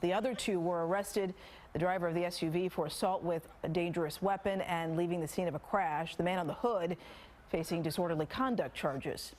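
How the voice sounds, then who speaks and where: A woman's voice: on the low side, fairly quick, a little mellow. A woman with an American accent reading the news as a TV anchor. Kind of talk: scripted news reading with light co-anchor banter.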